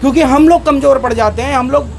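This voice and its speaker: A man's voice: very high-pitched, quick pace. A man from Uttarakhand speaking as a union organiser, rallying a group in an emphatic, insistent way and repeating his phrases. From Uttarakhand, India. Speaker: union organiser addressing members. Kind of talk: impromptu rallying speech to a group.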